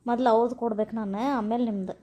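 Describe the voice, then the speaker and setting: A woman's voice: fairly high pitch, fairly even intonation, rapid-fire, mellow, lo-fi sound, voice pushed down, lightly acted. A woman voicing an obliging, business-minded village shopkeeper in an amateur cartoon, folksy and respectful, with a North Karnataka accent.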